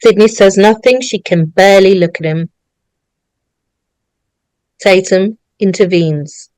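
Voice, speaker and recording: A woman's voice: mid-range voice, slow, darkish tone, clear, resonant tone, no echo, low-quality recording. A woman reading screenplay directions as a scripted narrator.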